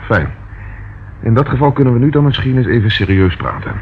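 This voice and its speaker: An actor in a Dutch radio play playing a calm, protective police inspector, measured and firm. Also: male voice, mid-range voice, lightly acted. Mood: warm, serious. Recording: dull old radio recording with noise.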